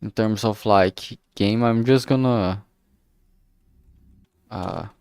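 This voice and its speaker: A man giving a casual, unscripted livestream update in a halting, rambling way, often trailing off mid-phrase. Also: fairly low pitch, gravelly, a little mellow, clear, resonant tone, dry recording, clean studio sound. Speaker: streamer, visual novel maker.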